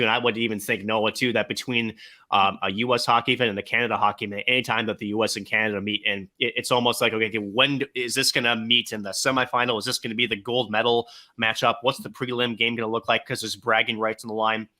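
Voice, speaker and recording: Low, bright-voiced man monologuing. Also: level tone, fast talker. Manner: long monologues, filler-heavy. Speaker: podcast co-host, hockey broadcaster. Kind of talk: casual sports chat.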